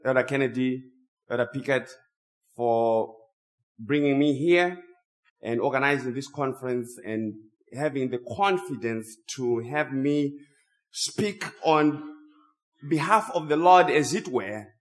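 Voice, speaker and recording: A man from Zimbabwe, for whom English is a second language, preaching a sermon as a guest speaker while he has a cold. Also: mid-range voice. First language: not English. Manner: slow, drawn-out emphatic phrasing.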